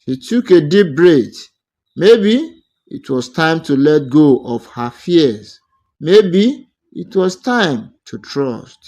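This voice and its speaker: A man narrating a scripted romantic folktale as a storyteller, in deliberate, measured short phrases. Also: fairly high pitch, big pitch swings, a little mellow.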